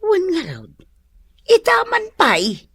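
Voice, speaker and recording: A woman's voice: extremely high pitch, wildly swooping pitch, slow pace, fairly bright tone, heavily acted. A woman playing a middle-aged, chatty, exclamatory mother with an Ilocano Filipino accent in a comedy radio drama. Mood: curious, nosy. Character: middle-aged gossipy mother, exasperated and animated.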